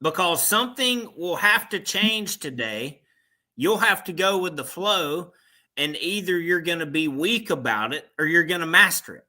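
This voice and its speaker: A man's voice: high voice, expressive, normal speed, pushed and bright. A man co-hosting a casual morning talk show, making short, emphatic remarks. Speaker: co-host.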